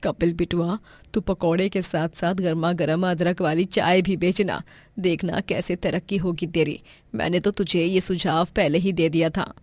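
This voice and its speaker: A woman's voice: fairly low pitch, steady tone, moderate pace, darkish tone, no echo, close to an everyday voice. A woman voicing a kindly, grateful old village mother in a cartoon moral story, with an affectionate manner. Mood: encouraging.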